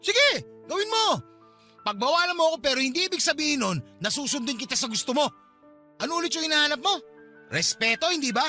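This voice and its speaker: A man's voice: very high voice, sing-song, fast talker, pushed and bright, faint music behind, exaggerated voice. A man playing a defensive, resentful husband in a Tagalog radio drama, dismissive and argumentative. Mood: angry, resentful.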